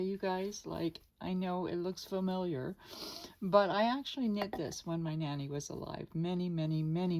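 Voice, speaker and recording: Slow, low-voiced chatty woman. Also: a little mellow. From Canada. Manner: rambling, chatty, many fillers and asides to viewers. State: voice lower than usual. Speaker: art channel host. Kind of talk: casual unscripted chat. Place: home dining room table.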